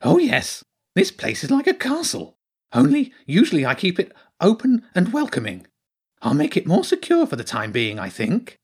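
Man voicing a high-pitched, fretful little spiny creature. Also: huge pitch swings, normal speed, husky, exaggerated voice. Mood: fussy, reassuring. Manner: repeats himself, fretful and matter-of-fact. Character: nervous, fussy, spined creature, blunt and cautious.

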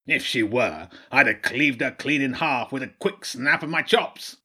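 A man voicing a huge, gruff male badger king in an audiobook, who talks in a scornful, spitting, threatening way. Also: medium pitch, lively intonation, a little slow, sharp tone, heavily acted. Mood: menacing. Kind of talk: audiobook character voice.

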